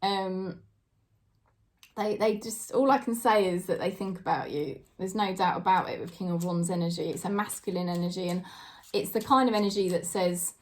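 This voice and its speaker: Chatty British woman in her forties. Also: fairly low pitch. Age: 40s. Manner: chatty and hesitant, with many fillers and frequent soft laughs. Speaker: tarot reader. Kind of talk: casual spoken tarot reading to camera.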